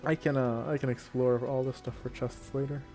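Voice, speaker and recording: A man's voice: medium pitch, little pitch movement, a little fast, mellow, soft background music. A man giving casual let's play commentary, musing to himself as he works through a game. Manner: thinks aloud, musing to himself. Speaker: gamer playing through a story quest.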